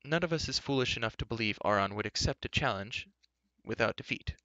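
A man voicing a very old, solemn and blunt enchanter in a fantasy storybook, speaking in curt, measured phrases. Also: mid-range voice, lively intonation, bright, forceful tone, no room sound, highs cut off. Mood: grave, urgent. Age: older figure, played. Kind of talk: fantasy audiobook character voice.

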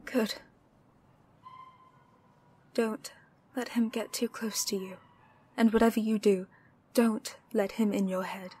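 A woman's voice: mid-range voice, fairly even intonation, slow, long pauses, mellow, slightly rough. A British woman playing a protective, anxious heroine in an audio roleplay, urgent and emotional. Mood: shaken, hushed. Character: protective, anxious young heroine haunted by loss.

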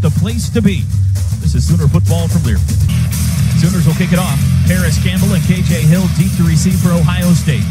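An American man giving live football play-by-play on the radio in short, clipped phrases. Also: high-pitched. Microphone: broadcast booth microphone. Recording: live stadium broadcast, faint ambient noise.

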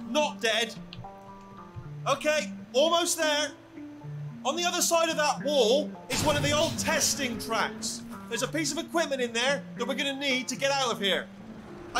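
An actor with a British accent playing a chatty, bumbling, eager robot sidekick in a video game, rambling and stammering. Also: male voice, very high-pitched, normal speed, music in the background. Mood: anxious, hurried. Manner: rambling, stammering, falsely reassuring.

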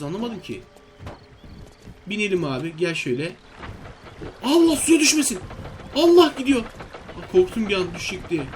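A man's voice: sits high, slight background noise. A man giving casual, chatty, exclamatory let's-play commentary to his viewers, often asking himself questions. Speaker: gaming video host.

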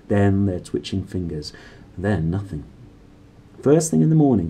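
Animated, low-voiced man reading a story. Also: slightly rough, low-quality recording. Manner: animated, dramatic phrasing. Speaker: book reader and presenter. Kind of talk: expressive storybook narration, read aloud. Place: small indoor room.

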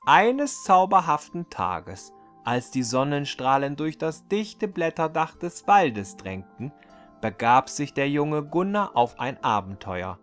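A man reading a fairy tale aloud as a storyteller, in an expressive, deliberate scripted storybook delivery. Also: a little high, faint music behind.

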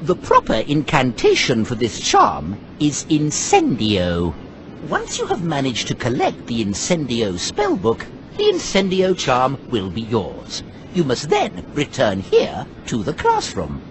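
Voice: medium pitch, huge pitch swings, a little slow. A little old wizard professor lectures in a hugely swooping sing-song. He is fussy, cheerful and formal, a video game character with faint noise behind him. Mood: brisk, instructive. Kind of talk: scripted video game acting.

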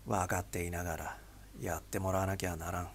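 A man voicing a grim, weary spy boss who speaks tersely and heavily in a gravelly, husky voice. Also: sits low, level tone, brisk, slight background noise, pitched below the performer's own voice. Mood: somber. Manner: heavy, somber, terse.

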